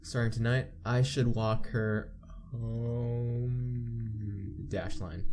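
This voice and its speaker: A man's voice: medium pitch, steady tone, very slow, noisy background. A man hosting a visual novel playthrough, reading the on-screen story aloud and commenting casually. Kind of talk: casual game narration, reading text aloud. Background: game background music.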